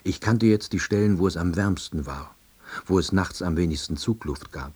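An actor playing a lonely, melancholy laid-off East German husband, narrating his own story in a terse, deadpan diary manner. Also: deep voice, moderate pace, slightly rough. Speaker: narrator and lead actor of a radio drama. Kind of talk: scripted first-person narration.

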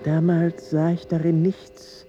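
Very mellow, slow reminiscing narrator. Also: male voice, on the high side, completely flat delivery, dull audio, a little hiss or hum. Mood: reflective. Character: reminiscing narrator recalling an eccentric colleague. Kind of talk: scripted radio drama narration.

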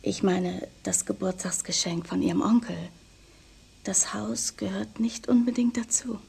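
An actress playing a seductive, mysterious, self-assured woman in a crime radio play, with breathy, teasing, coaxing delivery. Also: medium pitch, a little slow, a little mellow, exaggerated voice. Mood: soft, insinuating. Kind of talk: 1990s German crime radio play acting.

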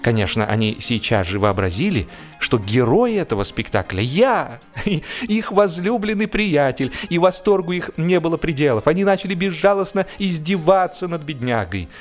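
A man reads a humorous story as an audiobook narrator, in an expressive, animated storytelling manner. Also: fairly high pitch, normal speed, soft background music.

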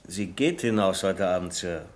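A man's voice: low-pitched, normal speed, natural voice. A man playing a polite, deferential bank employee answering to his boss in a radio crime comedy. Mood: dutiful, assured. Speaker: senior bank clerk.

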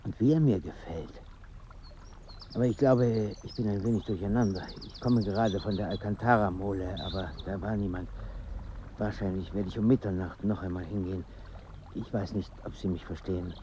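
An actor in a German radio drama playing a confused, fussy middle-class intellectual, with a pedantic, hesitant and formal manner. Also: male voice, fairly low pitch, steady tone, normal speed, mellow, natural voice. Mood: bewildered, searching. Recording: dull sound with faint ambient noise.

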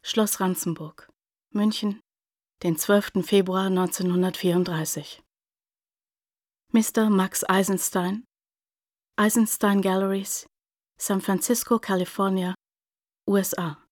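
An actress in a radio drama playing an impersonal announcer, reading out letter headings formally and deliberately. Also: female voice, medium pitch, fairly even intonation, a little mellow, no echo, studio quality, natural voice. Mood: formal, neutral.

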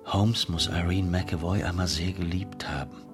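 An actor playing a reflective father quietly speculating in a radio drama. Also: low-pitched, fairly even intonation, normal speed, natural voice. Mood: pensive. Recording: clear music behind the voice.

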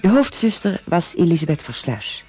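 A male radio announcer reads scripted drama credits. His voice is fairly high, dark and husky, with faint music behind it. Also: very animated, moderate pace.

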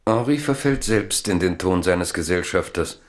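Low-voiced male radio play narrator. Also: lively intonation, moderate pace.

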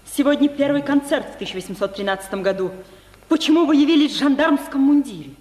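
A haughty royal lady in a vintage radio drama. Her very high voice is sharp and commanding, echoing through a large reverberant hall. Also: animated, fast talker. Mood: imperious. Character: imperious, haughty princess, indignant and commanding. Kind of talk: 1950s Soviet radio drama acting.

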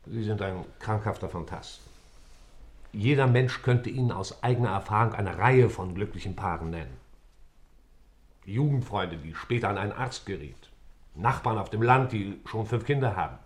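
Man playing strict judge in a radio drama, lowish voice, dismissive and authoritative. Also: expressive, moderate pace, close to an everyday voice. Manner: authoritative, admonishing. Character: stern, dismissive male judge. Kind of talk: German radio play acting.

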